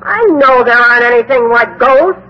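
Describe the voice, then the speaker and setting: An actress playing a troubled, stubborn phony medium in agitated, emphatic 1950s radio drama style. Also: female voice, a little high, normal speed, close to an everyday voice. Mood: defensive, agitated. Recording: muffled, noisy 1950s radio recording.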